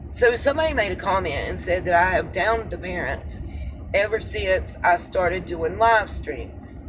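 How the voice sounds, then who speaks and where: Slow-talking American woman in a noisy car. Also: fairly low pitch. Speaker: vlogger. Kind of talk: casual rambling monologue to viewers. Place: inside a moving car. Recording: noisy car interior with road noise. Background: car and traffic noise.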